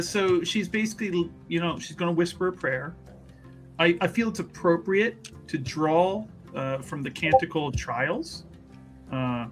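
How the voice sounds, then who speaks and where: A man's voice: a little high, a bit bright. A man playing a tabletop roleplaying game, narrating a character casually in a wry, discursive way.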